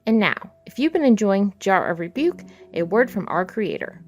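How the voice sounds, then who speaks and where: A woman's voice: mid-range voice, big pitch swings, normal speed, a little mellow. A woman giving a scripted read of podcast credits as an announcer. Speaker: credits reader.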